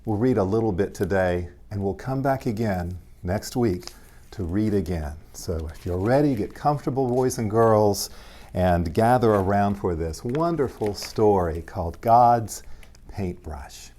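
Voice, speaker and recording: A man, a pastor, reading aloud to young children in gentle, simple language and addressing them directly. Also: fairly low pitch, animated, unhurried.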